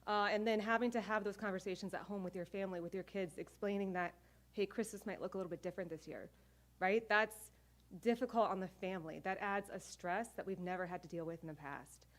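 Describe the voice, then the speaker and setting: A woman's voice: mid-range voice, brisk. Earnest middle-aged woman giving testimony. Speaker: air traffic controller speaking out. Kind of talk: press conference testimony.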